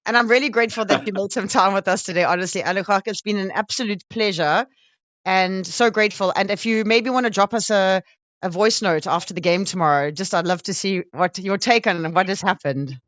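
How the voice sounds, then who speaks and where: A woman's voice: mid-range voice, fairly quick, a bit bright, no echo, lo-fi sound. A South African woman hosting a live radio interview in a chatty, effusive and informal way.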